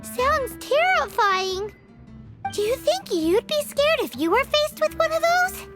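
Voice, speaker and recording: A tiny, cheeky fairy sidekick from a video game, with an extremely high childlike voice. The delivery swoops about excitedly and is full of exclamations, over prominent music. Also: female voice, slow pace, full character voice. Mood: chirpy. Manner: refers to self in third person, exclamatory. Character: small excitable floating fairy companion, childlike, nosy, cheeky. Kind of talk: animated video game voice acting.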